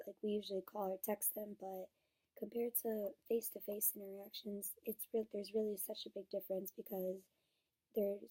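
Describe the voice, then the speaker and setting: A young woman, hoarse because she is sick, talks through a student presentation on her research topic. Her voice is mellow and dark, and she speaks earnestly, with fillers and restarts. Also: medium pitch, rough recording. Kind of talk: class presentation on a research paper.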